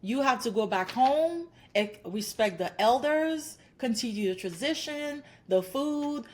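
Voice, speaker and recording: A woman's voice: on the high side, animated, unhurried, darkish tone. A woman psychologist from Haiti, in her thirties, answering interview questions in an earnest, encouraging way, often building her points into lists. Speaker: clinical psychologist guest. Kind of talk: podcast interview.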